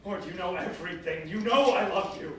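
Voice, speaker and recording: A man's voice: high-pitched, brisk, lightly acted. A man playing a devoted, anguished disciple on stage, pleading and stumbling over repeated words. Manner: pleading, stammering repetitions. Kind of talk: theatrical staged reading. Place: echoey performance hall, distant mic. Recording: echoey hall from far off, faint noise.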